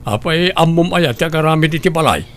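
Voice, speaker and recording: A man's voice: fairly high pitch, lively intonation, a little fast, fairly bright tone. A man voicing a scheming husband who coaxes his wife in an Ilocano audio drama. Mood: coaxing, scheming. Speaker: voice actor.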